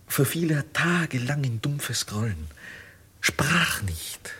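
An actor playing an anguished young goldsmith's journeyman in a 1950s German radio drama, with husky, declamatory delivery that moves from hushed to impassioned. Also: mid-range voice, big pitch swings, takes it slowly, exaggerated voice. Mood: heavy, recounting. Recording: muffled vintage 1950s radio recording.

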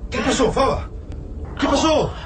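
A man's voice: extremely high pitch, unhurried, very noisy. A man playing an urgent, resolute resistance fighter in a radio drama, intense and pressing. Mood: alarmed.

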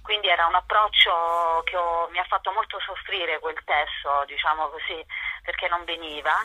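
Woman, husky, piercing tone, dry close sound, reflective interview talk. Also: on the low side, fairly even intonation, moderate pace, pushed and bright, no room sound, rough recording. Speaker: theatre author and actress being interviewed.

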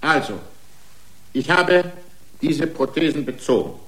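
A man's voice: on the high side, a little slow, a bit bright. An actor playing a defiant, aggrieved man made of prostheses, arguing his own case indignantly and combatively in a radio drama. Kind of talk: German radio drama acting. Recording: echoing room.

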